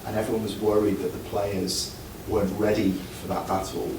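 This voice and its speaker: A deep-voiced male journalist asks measured questions at a press conference. He is heard from a distance in an echoing room with steady background noise. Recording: roomy, distant mic, noisy.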